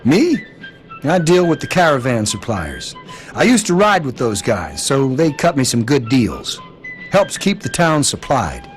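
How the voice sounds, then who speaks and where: A man's voice: mid-range voice, huge pitch swings, measured pace, soft background music. An actor playing a folksy wasteland trader and protective father figure in a video game, with an American accent and a drawling manner. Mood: proud, easygoing.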